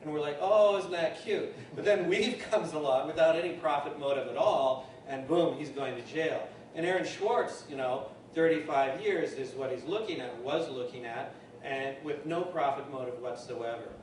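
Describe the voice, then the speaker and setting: A man's voice: fairly high pitch, moderate pace. A man giving a talk at a university speaks in a loose, thinking-aloud way as he answers questions from the audience. He is recorded from far off in an echoey lecture hall, with noise underneath.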